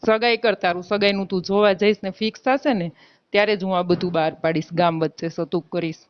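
A woman's voice: mid-range voice, fairly even intonation, fairly quick, no echo, natural voice. A woman playing an aggrieved married village woman in a phone-call drama, with a Gujarati accent, insistently accusing and threatening her lover. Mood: bitter threatening. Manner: insistent, reproachful, argumentative. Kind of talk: staged phone-call drama in colloquial speech. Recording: dull, lo-fi phone call recording.

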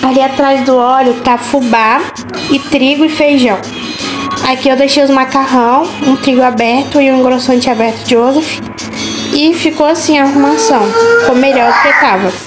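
A woman's voice: high voice, steady tone, moderate pace, over music, low-quality recording. A young woman casually chatting to viewers while tidying her home kitchen, pointing things out one by one on a handheld phone. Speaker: home vlogger. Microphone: handheld phone camera.

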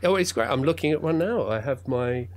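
A man's voice: medium pitch, animated, fairly quick. A middle-aged man talking as a guest, enthusiastic and playful, rambling with many restarts and fillers. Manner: playful, jokey. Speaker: guest, radio drama writer and director. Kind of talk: casual conversation.

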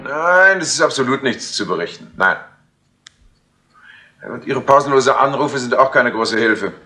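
An actor playing a harried, fussy, impatient colonel in a 1960s radio crime play. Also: male voice, medium pitch, animated, a little slow, music in the background, natural voice. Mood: exasperated. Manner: fussy, exasperated, hesitant. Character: harried, impatient male colonel, worried senior official. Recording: noisy old 1960s tape recording.

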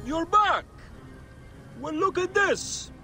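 A man's voice: extremely high pitch, lively intonation, slow pace, full character voice. An actor playing a wily, evasive male merchant from ancient Egypt in a video game, sly and teasing in manner. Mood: pleased, glib. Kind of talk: video game character acting. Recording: music behind the voice.

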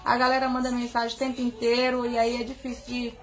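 Young woman, high voice; affectionate live-stream host shout-outs, phone mic, soft music under. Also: monotone, fast talker. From Bahia, Brazil. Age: young adult. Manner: chatty and affectionate, greeting viewers by name. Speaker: singer hosting a live stream. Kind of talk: casual live-stream chat. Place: bedroom indoors, seated on bed. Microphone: phone camera at arm's length.

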